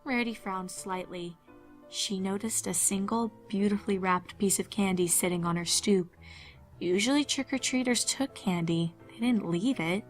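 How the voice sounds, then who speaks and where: A woman's voice: mid-range voice, normal speed, soft background music. A woman giving a scripted narration of a fan fiction horror story.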